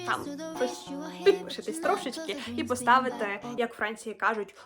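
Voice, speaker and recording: A woman narrates a language lesson. Her voice is on the high side, and she explains phrases as a teacher would. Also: animated, normal speed, over music. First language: Ukrainian. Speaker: French teacher.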